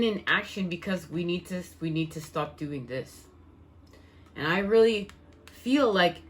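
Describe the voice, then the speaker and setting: A woman giving a spiritual tarot reading, her voice worn and fading, speaking in slow, soothing, repetitive phrases. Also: on the low side, fairly bright tone. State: losing her voice. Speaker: tarot reader.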